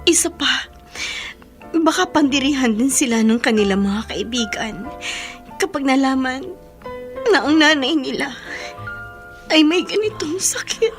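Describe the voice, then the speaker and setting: An actress playing a troubled, gentle mother in a radio drama, earnest and polite, with a Filipino accent. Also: sits high, animated, a little slow, long pauses. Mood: fearful. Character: troubled young mother and leprosy patient, gentle, respectful, fearful. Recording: music under the voice.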